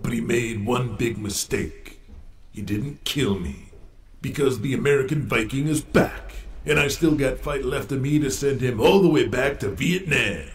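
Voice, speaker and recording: An actor playing a tough, defiant veteran wrestler who delivers swaggering promos. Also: male voice, mid-range voice, unhurried, echoey room, close to an everyday voice. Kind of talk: audio drama acting.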